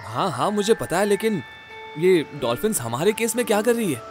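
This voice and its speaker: A man acting a high-pitched, bossy ghost investigator. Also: big pitch swings, fairly quick, over music. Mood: curious. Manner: bossy, exasperated, foul-mouthed. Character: Dhruv, irritable bossy male ghost-case investigator and leader. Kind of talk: Hindi horror-comedy audio drama acting.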